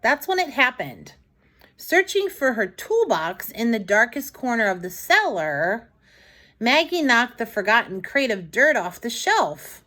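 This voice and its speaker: Slow, animated woman reading a children's story. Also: a little high. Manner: dramatic, deliberate storybook delivery with asides to listeners. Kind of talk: expressive read-aloud storytelling for young children.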